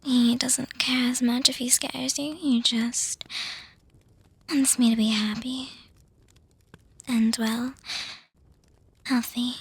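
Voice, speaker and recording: A woman voicing a young, doting, refined vampire girlfriend in an ASMR roleplay, whispering breathily with hesitant pauses and soft hums. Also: on the high side, fairly even intonation, husky, no room sound, full character voice. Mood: gentle, reassuring. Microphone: close microphone, dry.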